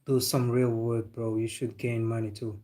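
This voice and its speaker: A man's voice: medium pitch, flat delivery, moderate pace, dark tone. A young man hosting a football live stream, chatting casually with viewers in a loose, laid-back way. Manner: loose and chatty, calls viewers bro, talks with a laugh.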